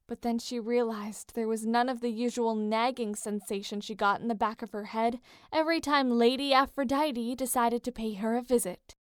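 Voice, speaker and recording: A woman's voice: fairly high pitch, no echo, studio quality. A woman narrating a story as a scripted dramatic reading.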